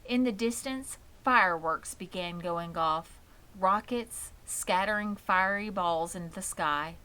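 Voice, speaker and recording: A woman's voice: mid-range voice, unhurried, sharp tone, low-quality recording. A woman reading a children's story aloud as scripted narration. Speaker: audiobook reader.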